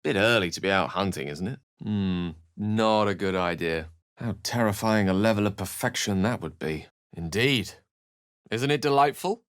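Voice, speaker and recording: An actor playing an eloquent, theatrical and wry human wizard in a fantasy video game, with articulate, literary phrasing. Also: male voice, fairly low pitch, lively intonation, normal speed, fairly bright tone, clean tone, dry recording, studio quality. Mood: wry, measured.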